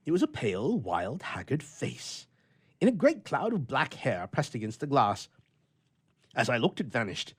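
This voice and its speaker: Man performing a blustering bachelor, huge pitch swings, slightly husky, flustered, soft-hearted, old-fashioned storybook telling. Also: sing-song, moderate pace. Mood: haunted, uneasy. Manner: theatrical, stammering and blustering. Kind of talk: dramatic storytelling in old-fashioned literary prose.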